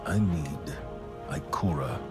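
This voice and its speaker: An actor playing a solemn, resolute military commander, speaking in grave, deliberate oratory in a cinematic game scene. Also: male voice, very deep voice. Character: solemn, resolute male military commander rallying his fighters. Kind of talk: dramatic cinematic game speech. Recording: cinematic music behind the voice.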